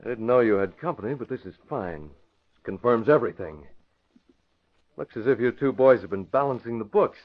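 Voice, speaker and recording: Actor as confident young radio hero, swinging delivery. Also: male voice, medium pitch, expressive, lightly acted. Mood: firm, accusing. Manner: brisk, confident, confrontational. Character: bold, cool-headed young male newspaper publisher and sleuth. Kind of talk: old-time radio drama acting. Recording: muffled vintage radio broadcast.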